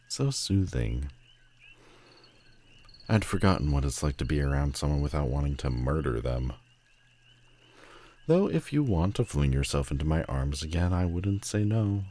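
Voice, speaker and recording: A man's voice: a bass voice, darkish tone, full character voice. A man playing a proud, sardonic telepathic villain with secret loneliness, speaking haughtily and dramatically in a roleplay audio drama. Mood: grudging, aloof. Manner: haughty, dramatic, drawn-out emphatic phrasing.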